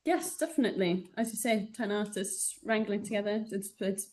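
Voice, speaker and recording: A woman's voice: medium pitch, moderate pace, a little mellow. A woman exhibition organiser chatting casually on a video call.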